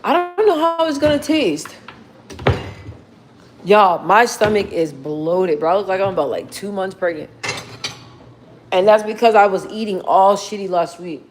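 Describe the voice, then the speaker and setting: A woman with a low, bassy voice talks casually to her viewers. She wanders from topic to topic, reading their comments aloud and cursing now and then. Her pitch sits in the middle of the usual range for her speech. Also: expressive, a little slow, pauses often, background noise. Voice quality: deep, bassy voice. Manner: rambling, reads viewer comments aloud, swears. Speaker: livestream host.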